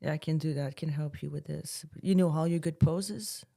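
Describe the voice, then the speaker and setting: A woman's voice: low voice, moderate pace, vocal fry, mellow. A woman in her late thirties co-hosting a casual podcast, telling personal stories candidly and laughing often. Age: 30s. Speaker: podcast co-host.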